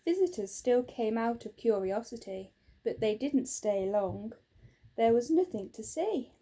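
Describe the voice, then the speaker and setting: A woman narrating a children's storybook in a measured, expressive way. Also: on the high side. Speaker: storybook reader.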